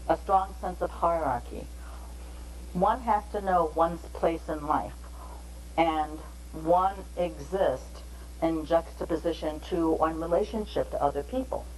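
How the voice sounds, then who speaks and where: A woman giving a measured, explanatory training lecture on interpreting, pausing between phrases. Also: on the low side, a little hiss or hum, poor audio.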